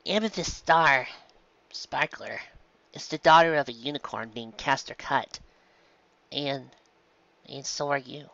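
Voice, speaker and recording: A man voicing a gentle, guilt-ridden adult pegasus mare, a mother who talks softly and haltingly in a fan fiction audiobook. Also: medium pitch, creaky, no echo, muffled recording. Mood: explaining. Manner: halting, soft, apologetic.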